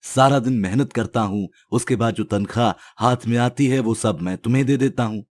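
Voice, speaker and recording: A man's voice: medium pitch, normal speed, a little mellow, close to an everyday voice. A man playing an honest, simple village snack seller in a storybook tale, speaking plainly. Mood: resigned. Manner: earnest, plain-spoken. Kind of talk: storybook character dialogue.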